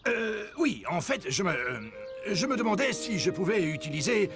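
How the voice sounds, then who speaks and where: A man's voice: high voice, sing-song, normal speed. A man voicing a fussy, flustered cartoon mayor who speaks hesitantly, in a children's dub. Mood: flustered, polite. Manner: hesitant, stammering. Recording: loud music behind the voice. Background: loud music.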